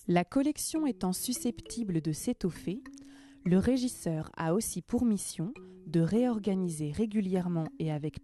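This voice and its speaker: A woman reading scripted documentary narration in a measured, explanatory way. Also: on the low side, warm tone.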